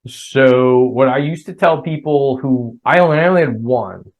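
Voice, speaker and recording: A middle-aged man with a New Jersey accent talks in a loose, rambling way and keeps restarting his sentences. His voice sits at a mid pitch, and this is relaxed podcast conversation. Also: normal speed. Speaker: podcast guest. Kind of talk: casual chat.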